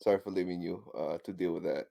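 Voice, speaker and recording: Low-voiced man, stumbling casual roleplay. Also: steady tone, fast talker, creaky, mellow. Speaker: player in a tabletop roleplaying game. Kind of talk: casual in-character improvised roleplay.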